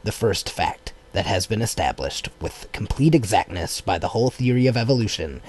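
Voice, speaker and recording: A man narrating a political text as an audiobook in a measured, formal manner. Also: on the low side, lively intonation, darkish tone. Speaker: audiobook reader.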